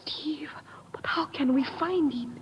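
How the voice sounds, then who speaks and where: An actress playing an earnest, anxious young Latin woman, husky and intense, in 1950s radio drama style. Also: high-pitched, a little slow, slight background noise. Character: earnest, anxious Latin woman seeking her brother's killer. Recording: muffled old radio recording with reverb.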